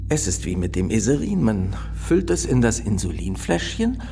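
An actor playing a probing, matter-of-fact police inspector in a German radio crime play. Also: male voice, lowish voice, big pitch swings, normal speed, vocal fry, mellow, slight background noise. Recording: radio play with music underneath.

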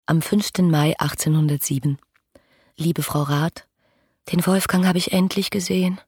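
An actress playing an ardent, dreamy young woman in a German radio play, effusive and lyrical in a breathy voice. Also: fairly low pitch, fairly even intonation, normal speed, darkish tone. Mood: yearning. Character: ardent, dreamy young woman writing rapturous letters about her idol. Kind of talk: German radio play, literary letter monologue.

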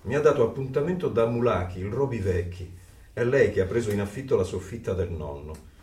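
A man's voice: fairly low pitch, expressive, fairly quick, mellow, lightly acted. A man acting an earnest, reflective dissident voicing secret thoughts in a radio drama. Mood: amazed, uneasy. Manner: reflective, intense. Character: earnest, brooding male dissident narrating his secret thoughts. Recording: echoey, distant over music.

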